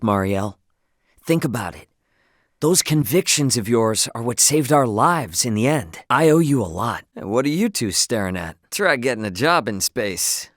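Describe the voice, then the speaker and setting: Game role: brash young male space captain, slangy and good-hearted, lively swooping pitch, dry close sound. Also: no room sound. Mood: earnest, then wry. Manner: casual, slangy, plain-spoken. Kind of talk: video game voice acting.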